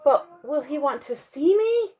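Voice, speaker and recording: A woman's voice: high-pitched, very animated, measured pace, dark tone, a little hiss or hum, voice pushed up. A woman voicing a timid, self-doubting little male wooden puppet in a storybook reading. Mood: pleading.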